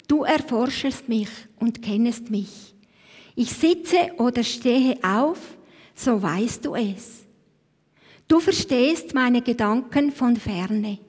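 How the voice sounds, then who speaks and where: A Swiss woman leading a church service, speaking reflectively and reading scripture in a slow voice that sinks to a hush at phrase ends. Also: high voice. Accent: Swiss German. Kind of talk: devotional talk and scripture reading. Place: church hall. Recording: long hall reverb.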